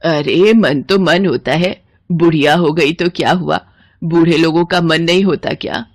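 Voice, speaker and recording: Woman acting a slow, plaintive old mother. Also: low voice, no echo, studio quality. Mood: indignant. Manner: slow, drawn-out, tearful pleading. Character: grieving, kindly elderly Indian mother, weary and plaintive. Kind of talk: cartoon moral story voice acting.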